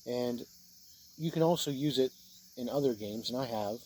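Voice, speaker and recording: A man giving an informal spoken review of role-playing books, plain-spoken and halting, speaking in short phrases. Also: mid-range voice, darkish tone, slight background noise, poor audio.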